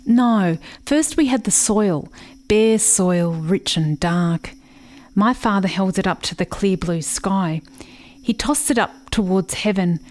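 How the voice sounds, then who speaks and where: A woman acting in a radio play as a haunted, grieving daughter recalling a rural childhood in a measured, solemn monologue. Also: mid-range voice, a little mellow, lightly acted. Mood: haunted, questioning. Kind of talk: reflective dramatic monologue.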